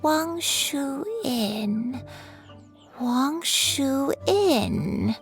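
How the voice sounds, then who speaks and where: A woman voicing a gentle, forgetful old grandmother in a video game, halting and nostalgic as she speaks. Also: high-pitched, expressive, faint music behind, full character voice. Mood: wistful, searching. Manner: halting, wistful, repeats words.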